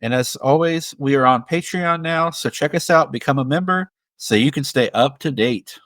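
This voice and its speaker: Male co-host, thirties, slightly high voice, very dry sound, casual conversational film talk. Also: moderate pace, a bit bright, dry recording. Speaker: podcast co-host.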